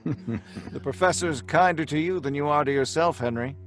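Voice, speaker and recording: A man's voice: fairly high pitch, normal speed, natural voice. Man playing obsessed young scientist, radio drama, over music. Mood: earnest, affectionate. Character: earnest, obsessed young male scientist recounting a grim tale. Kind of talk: old-time radio drama acting with narration. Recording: music under the voice.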